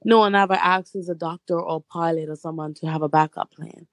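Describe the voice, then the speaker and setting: A young woman with a slightly hoarse, gravelly voice and a lowish pitch, talking casually as an interview guest. Her speech is relaxed and chatty, with pauses and fillers. The sound is close and dry. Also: no room sound. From Bermuda. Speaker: guest, stage actress.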